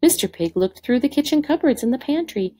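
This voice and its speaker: A woman's voice: high voice, animated, a little fast, mellow. A woman teacher reading a picture book aloud to third graders, expressively and with direct questions to the children.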